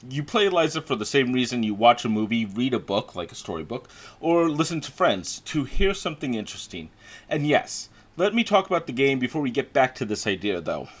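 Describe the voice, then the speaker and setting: Guy doing a game review voiceover, really animated up and down delivery, chatty and opinionated. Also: mid-range voice, a little fast. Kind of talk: review voice-over commentary.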